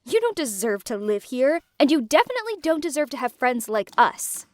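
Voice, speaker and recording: A woman's voice: sits high, sing-song, fairly quick, darkish tone, no room sound. A woman playing a spiteful, sneering young woman.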